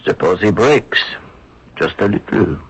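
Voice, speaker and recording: A worried resistance member in a radio drama. His voice is deep and husky, full of doubt. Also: animated, measured pace, highs cut off, exaggerated voice.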